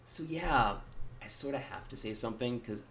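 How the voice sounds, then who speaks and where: A man's voice: mid-range voice, animated, normal speed, gravelly, a bit bright, slight background noise. A man, a gaming channel host, talking casually to his viewers in a rambling, wry way with self-deprecating asides. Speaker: gaming YouTuber.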